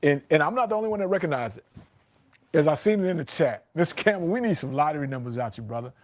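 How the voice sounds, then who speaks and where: A man's voice: fairly high pitch, animated, fast. A man in casual sports talk, emphatic and repeating his points for effect.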